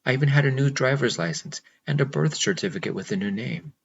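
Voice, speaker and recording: A man narrating a first-person romance novel in a measured, intimate way. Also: medium pitch, animated, vocal fry, muffled recording, a little hiss or hum. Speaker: audiobook narrator.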